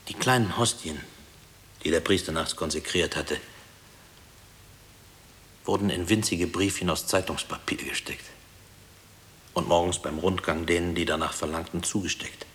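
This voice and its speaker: An actor playing a grave former prisoner haunted by wartime memories, speaking in a measured, reflective way in a radio play. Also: male voice, sits low, fairly even intonation, fairly bright tone. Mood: quiet, matter-of-fact. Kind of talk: German radio play acting. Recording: hissy old radio drama tape.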